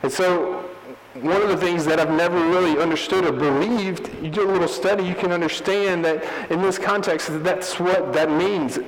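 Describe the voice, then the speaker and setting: A man's voice: sits high, steady tone, moderate pace, in a large hall, low-quality recording. A man preaching a teaching sermon, earnest and explanatory, often restarting his phrases. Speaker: pastor.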